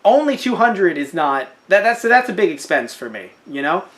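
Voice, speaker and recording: A young man co-hosting a casual podcast, animated and jokey, slipping into silly voices. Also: high-pitched, normal speed. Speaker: podcast co-host. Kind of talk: casual chat.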